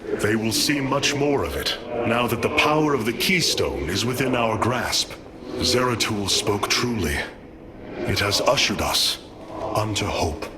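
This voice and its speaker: An actor playing a noble, resolute alien leader in a video game cutscene, speaking in a formal, commanding way. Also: male voice, on the low side, big pitch swings, a little slow, very noisy. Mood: reflective, hopeful.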